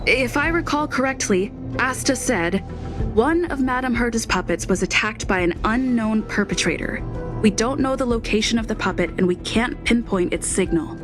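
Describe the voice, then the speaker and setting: An actress playing a composed, methodical young woman in a video game, testifying in a measured, precise, matter-of-fact way. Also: a little high, expressive, over music, lightly acted. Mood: insistent.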